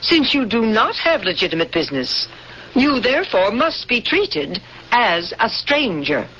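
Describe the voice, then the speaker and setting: A woman's voice: fairly high pitch, sing-song, a little slow, lo-fi sound. An actress playing a cold, coldly logical young female alien interrogator in a 1970s radio drama, on an old hissy recording.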